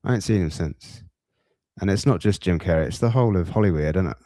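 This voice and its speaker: Man, slightly low pitch, dry close sound, casual podcast host, halting musing delivery. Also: dark tone, no echo. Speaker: podcast host reacting to clips. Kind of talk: casual reaction commentary.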